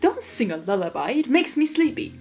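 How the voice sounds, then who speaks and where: A woman's voice: fairly high pitch, big pitch swings, fairly quick, a little mellow, faint music behind, pitched above the performer's own voice. A woman voicing a smug, blustering male creature of chaos in a theatrical, commanding way for a story reading. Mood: insistent. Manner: pompous, commanding, exclaiming.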